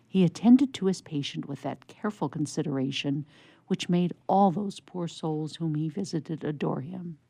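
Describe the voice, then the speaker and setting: Low, creaky-voiced woman narrator. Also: warm tone, dry recording. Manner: measured storytelling. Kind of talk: scripted story narration for radio theatre.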